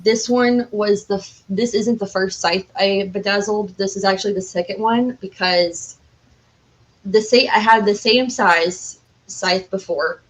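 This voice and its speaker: A woman's voice: medium pitch, steady tone, a little slow, rough recording. A woman speaking as a podcast guest in an informal, enthusiastic, chatty way. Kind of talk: casual chat.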